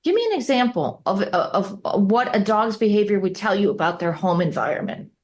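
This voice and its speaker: A woman's voice: medium pitch, big pitch swings, fairly quick. A woman hosting a podcast interview, asking interested, prompting questions. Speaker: host.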